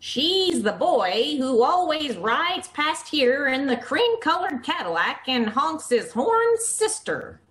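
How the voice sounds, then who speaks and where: A woman acts out a naive, dreamy young girl from an old radio comedy. Her high voice lilts up and down as she takes her time, sunny and guileless. Faint noise sits behind the video-call sound. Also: sing-song, slow pace, hardly any pauses, fairly bright tone, heavily acted, rough recording.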